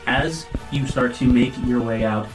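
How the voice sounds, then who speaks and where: A man running a tabletop game, narrating dramatically and descriptively, with pauses as he searches for words. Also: mid-range voice, low-quality recording. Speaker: game master. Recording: music behind the voice. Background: music.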